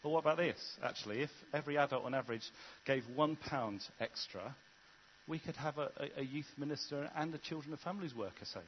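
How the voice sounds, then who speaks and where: A man's voice: medium pitch, lo-fi sound, a little hiss or hum. A man preaching a sermon in an earnest, conversational way, often restarting his sentences. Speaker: vicar.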